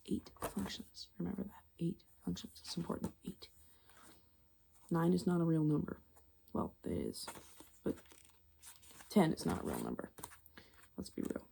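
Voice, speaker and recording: Low, creaky, soft-spoken ASMR woman. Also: slight background noise. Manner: rambling, conversational, confiding. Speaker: ASMR video creator and host.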